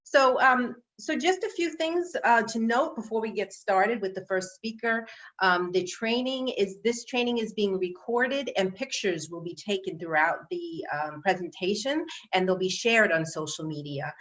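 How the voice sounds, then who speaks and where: A woman's voice: mid-range voice, normal speed, fairly bright tone. A woman hosting an online training event, giving chatty announcements with many fillers and restarts. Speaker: event host. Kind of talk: casual online meeting announcements. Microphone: video call. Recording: dull video call audio.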